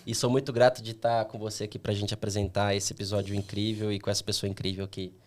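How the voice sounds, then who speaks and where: A man co-hosting a podcast in a relaxed, friendly way. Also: on the low side, fast. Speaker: podcast co-host.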